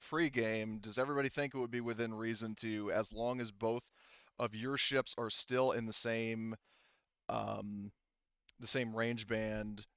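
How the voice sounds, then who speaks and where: A man's voice: on the low side, a bit bright, clean tone, no room sound, dull audio. A man acting as game master, casually narrating a tabletop game and muttering to himself as he checks rules and counts.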